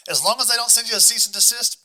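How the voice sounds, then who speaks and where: A man hosting a casual interview, asking questions in a halting way and rephrasing as he goes. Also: extremely high pitch, pushed and bright. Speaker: podcast host, asking questions.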